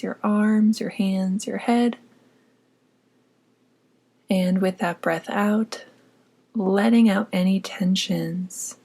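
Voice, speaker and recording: Female meditation guide, medium pitch, slow and soothing, soft breathy delivery verging on whisper. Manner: soothing, unhurried, gently instructing. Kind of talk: scripted guided meditation narration.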